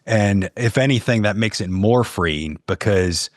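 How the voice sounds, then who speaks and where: A man with a very low voice, recorded dry with no room sound. He talks casually as an interview guest, explaining things in a halting way and circling back over his phrases. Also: no echo. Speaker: podcast guest, audio producer and narrator.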